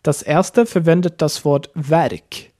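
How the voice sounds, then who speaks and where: A man teaching a language lesson, explaining each point slowly step by step. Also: a little high, dark tone. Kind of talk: lesson narration.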